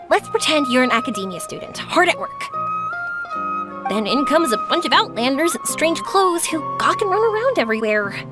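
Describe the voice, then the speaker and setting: Casting: upbeat young woman for anime game; high voice, lively swings, curious, chatty, easygoing. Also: moderate pace. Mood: slightly self-conscious. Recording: music behind the voice.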